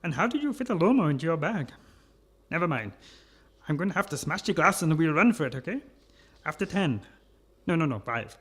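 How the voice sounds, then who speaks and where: An actor playing a bossy, short-tempered German baron villain in a comic radio play, with a German accent and a theatrical, scolding delivery. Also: male voice, on the high side, sing-song, brisk, pauses often, a bit bright, husky, heavily acted. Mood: impatient.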